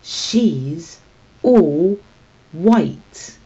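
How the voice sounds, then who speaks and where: A woman's voice: fairly low pitch, expressive, extremely slow, warm tone, muffled recording, exaggerated voice. A woman voicing a nervous, easily wounded female cat in a children's storybook, with a dramatic, anxious delivery. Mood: fearful, dramatic. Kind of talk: children's storybook read-aloud.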